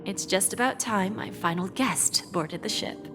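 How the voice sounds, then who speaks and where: A woman voicing a poised, shrewd female pawnshop boss in a video game, deliberate and teasingly courteous. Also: mid-range voice, in a large hall, natural voice. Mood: measured, knowing. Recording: background music under the voice.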